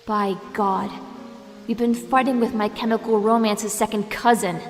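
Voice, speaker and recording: A woman's voice: mid-range voice, steady tone, normal speed, lightly acted. A woman playing a feisty young crime-fighting sidekick in a dramatic, sarcastic audio drama style. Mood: wry, narrating. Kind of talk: audio drama acting with first-person narration. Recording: echoey long reverb, music underneath.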